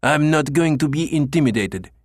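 A man's voice: a little high, expressive, unhurried, a bit bright, no room sound, studio quality, pitched above the performer's own voice. A man reading an audiobook and voicing an irate elderly French Canadian travel critic with a thin, nasal accent. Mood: raging indignant.

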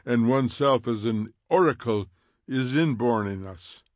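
A man voicing a smug, needling fire captain who mocks in quick theatrical bursts, in an audiobook reading. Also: mid-range voice, dry recording, studio quality, natural voice. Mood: gloating. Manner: taunting, theatrical, fast mocking bursts.

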